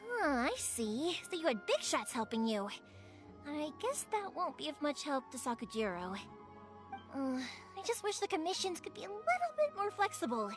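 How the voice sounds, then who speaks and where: A woman's voice: sits high, big pitch swings, normal speed. A woman playing a kind-hearted, cheerful young fireworks maker in a video game, speaking earnestly and expressively. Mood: concerned. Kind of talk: video game character acting. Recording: faint music behind the voice.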